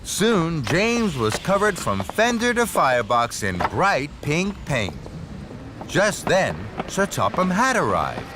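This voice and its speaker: A man narrating a scripted children's story in an expressive storytelling manner. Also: on the high side, slow, noisy background. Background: music.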